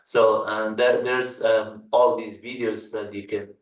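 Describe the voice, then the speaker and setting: A man from Afghanistan, a native Dari speaker, talks through a computer tutorial in a halting way, filling pauses and listing items one by one. His voice sits fairly low and stays flat. The mic is some way off in a room with noticeable echo. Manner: halting, many fillers, lists items slowly. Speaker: channel host and tech instructor. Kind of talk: casual screen-recorded tutorial talk. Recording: roomy echo, mic at a distance.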